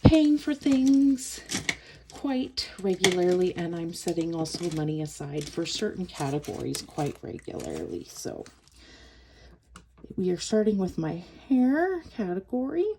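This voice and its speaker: A woman's voice: fairly low pitch, sing-song, a little slow, noisy background. A woman with a stuffed-up nose chatting casually as a budgeting vlogger, counting cash aloud as she goes. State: stuffed up from a cold.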